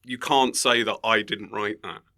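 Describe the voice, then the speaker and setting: A man's voice: lowish voice, fairly even intonation, a little slow, sharp tone. A British man speaking as a comedy podcast guest, casual and conversational with a dry, tongue-in-cheek manner.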